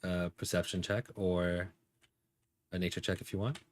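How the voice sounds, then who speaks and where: A man's voice: sits low, flat delivery, normal speed, slight background noise. A man acting as game master, narrating a tabletop role-playing game casually in informal, improvised phrasing.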